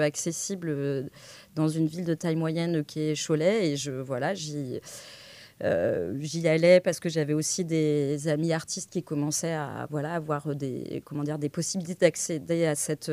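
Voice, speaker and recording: A woman from western France answering as an interview guest, halting and searching for her words. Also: sits low, darkish tone, no echo. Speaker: interview guest, director of a digital arts association.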